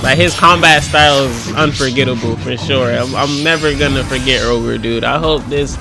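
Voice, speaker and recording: A man's voice: medium pitch, normal speed, gravelly. A young man hosting a gaming video, giving casual, rambling gameplay commentary in informal slang. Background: game music.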